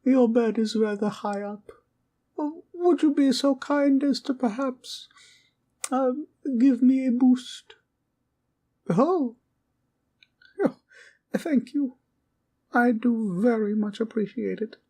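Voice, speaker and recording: Woman voicing a sweet, slow-talking little teddy bear. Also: fairly high pitch. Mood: sleepy, affectionate. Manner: sweet, polite, old-fashioned wording with soft giggles. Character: small, kindly awakened teddy bear guardian, childlike and courtly. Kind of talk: comfort audio roleplay, soothing bedtime talk.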